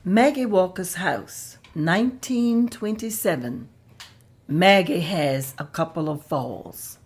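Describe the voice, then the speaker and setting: A woman narrates the stage directions of a table read, plainly and measuredly. She speaks slowly in a somewhat low voice. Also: expressive, husky.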